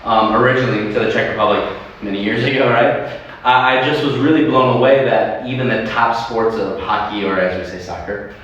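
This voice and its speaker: Man, animated, restarts a lot, distant mic, very echoey. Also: medium pitch, a little fast, highs cut off. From United States. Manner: animated, laughing, many restarts. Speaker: sports club leader and guest. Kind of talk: livestream interview. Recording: echoey room from afar, faint noise.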